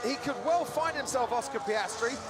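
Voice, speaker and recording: A man's voice: very high-pitched, normal speed. A man giving live lead commentary on a motor race in an urgent, dramatic way. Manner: urgent, dramatic rhetorical questions. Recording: loud engine and trackside noise behind. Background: race cars and outdoor circuit ambience.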